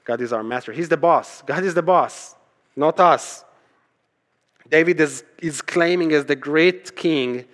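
A male pastor whose native language is Hebrew delivers a sermon in a mid-range voice. He talks to his congregation conversationally, leaning on rhetorical questions and restating key phrases with emphasis. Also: medium pitch. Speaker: pastor preaching to his church.

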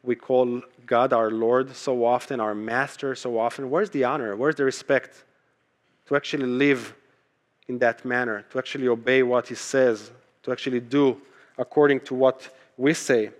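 A man's voice: mid-range voice, steady tone, moderate pace. Male pastor, native Hebrew speaker, preaching emphatically. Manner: emphatic, repeats key phrases for weight. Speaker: pastor preaching to his church. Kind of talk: conversational sermon with rhetorical questions.

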